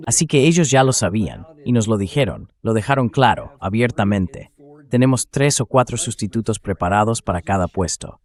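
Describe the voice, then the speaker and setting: Low-pitched synthetic man, political commentator. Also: sing-song, moderate pace. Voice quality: synthetic AI dubbing voice. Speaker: host of a political commentary show. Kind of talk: spoken political commentary, dubbed translation.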